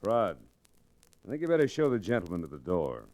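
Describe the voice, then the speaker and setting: Very deep, oily swindling storekeeper. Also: male voice, sing-song, a little fast, a little mellow. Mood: oily, mock-innocent. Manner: unctuous, mock-innocent, suave. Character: smooth, sly male storekeeper swindler from the city. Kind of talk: old-time radio Western acting. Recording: restored vintage radio recording.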